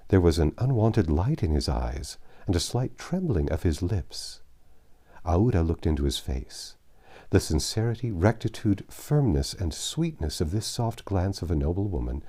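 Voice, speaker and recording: A man reading a classic novel aloud as a narrator, in a measured, expressive storytelling way. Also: low-pitched. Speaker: audiobook narrator.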